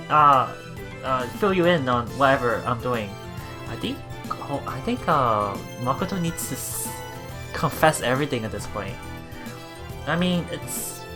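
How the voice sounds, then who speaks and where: A man hosting a let's play, reading game text aloud and adding casual, halting commentary with repeated words and exclamations. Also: medium pitch. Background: game music.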